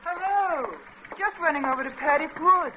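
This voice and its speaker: A young aristocratic British woman played by an actress chats and teases in a very high voice. The old radio drama recording is muffled and clearly noisy. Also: moderate pace, lightly acted. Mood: light, teasing. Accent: upper-class British. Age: young adult. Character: bright, teasing young English aristocratic lady. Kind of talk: 1940s-50s radio drama acting.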